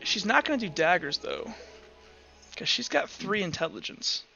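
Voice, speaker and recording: A man's voice: high-pitched, very animated, normal speed, gravelly, sharp tone. A man improvising narration as game master of a tabletop game, casual and profane, thinking aloud as he goes. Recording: dull lo-fi mic, music behind. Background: background music.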